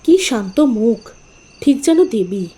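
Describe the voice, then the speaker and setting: A woman playing a caring, easily startled village wife in an expressive cartoon story style. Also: a little high, slow. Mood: adoring. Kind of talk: dramatic cartoon story acting.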